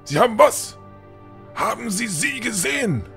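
Man playing an old lord, irritable, imperious, scornful, slightly high voice, fantasy radio play. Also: sing-song, unhurried, creaky, fairly bright tone, soft background music, full character voice. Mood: sharp, threatening. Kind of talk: fan-made fantasy audio drama acting.

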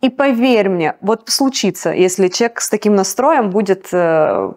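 A woman's voice: lively intonation, moderate pace, a little mellow. Emphatic woman singer, motivational talk. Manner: emphatic, motivational, pitch jumps up on key words. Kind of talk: interview guest.

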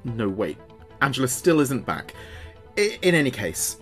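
A man's voice: mid-range voice, big pitch swings, measured pace, fairly bright tone. A man playing a chatty small-town late-night radio host in an audio drama, with playful, wry patter. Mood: newsy, chatty. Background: background music.

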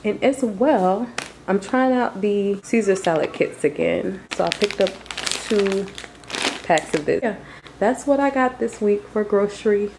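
A woman's voice: mid-range voice, unhurried, creaky, background noise. A woman vlogger talking casually and informally to her viewers, in a chatty way. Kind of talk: casual grocery haul vlog voice-over.

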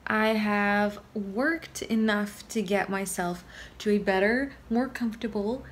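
A woman's voice: mid-range voice, slow, a little hiss or hum. A woman in her twenties chatting casually to camera, tired and groggy, in a rambling, self-deprecating, informal way. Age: 20s. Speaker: vlogger. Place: small room at home, indoors. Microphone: phone or camera at arm's length.

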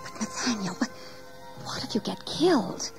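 An actress playing a fearful, anxious colonial wife in a radio drama. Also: female voice, on the high side, lively intonation, normal speed, vocal fry, faint music behind.

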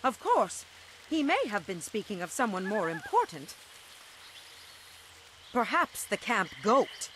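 Indignant wife, sarcastic, sharp-tongued, lively intonation, video game drama, faint background noise. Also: female voice, mid-range voice, takes it slowly, long pauses, exaggerated voice. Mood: sarcastic, biting. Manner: sarcastic, biting.

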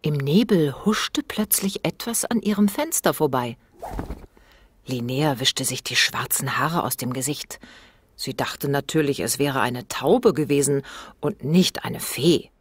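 A woman narrating a scripted children's bedtime story in an expressive read-aloud manner. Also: rumbling low, unhurried, slightly rough.

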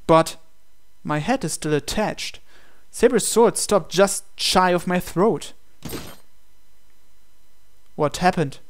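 Higher-voiced man dramatically narrating a story. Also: smooth. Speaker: let's-play reader of a visual novel. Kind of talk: dramatic first-person story narration read aloud.

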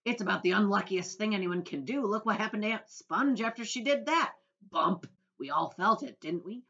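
A woman's voice: fairly low pitch, very animated, moderate pace, bright, forceful tone, heavily acted. A woman voicing a gleeful, boastful male centipede who is proud to be a pest. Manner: brash, boastful, sing-song when reciting. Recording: dull lo-fi audio.

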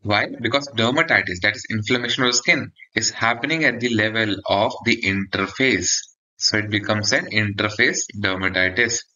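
A man's voice: on the low side, normal speed, sharp tone, highs cut off. A man gives an explanatory medical lecture for exam students, often checking in with a short "okay". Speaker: dermatology lecturer.

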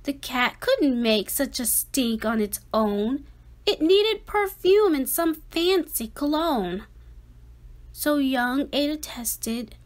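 Female storybook reader, high register, slow and expressive delivery, children's rhyming read-aloud. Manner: dramatic, deliberate phrasing.

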